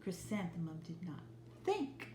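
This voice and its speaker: A woman reading a children's picture book aloud, dramatically, often dropping to hushed tones, with explanatory asides. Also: fairly low pitch, very animated, measured pace. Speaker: story reader. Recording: roomy echo, faint background noise.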